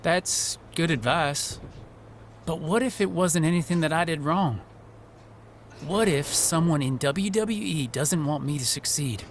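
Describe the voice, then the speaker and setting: A young male wrestler in a video game, anxious and paranoid. His slightly high voice is whiny and insistent as he sulks, with faint noise behind it. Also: measured pace. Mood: suspicious. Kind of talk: video game cutscene dialogue.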